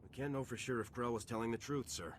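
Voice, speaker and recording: A man's voice: medium pitch, quick pace, a little hiss or hum, lightly acted. A man playing a resolute, principled lieutenant in a video game, speaking firmly and earnestly. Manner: firm, earnest, controlled. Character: principled male soldier, a resolute young lieutenant. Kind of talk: scripted video game acting.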